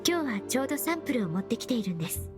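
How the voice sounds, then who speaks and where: A woman voicing a polite, analytical young perfumer, speaking in a gentle, precise and measured way for an anime game. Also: on the high side, sing-song, music in the background. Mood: polite, modest.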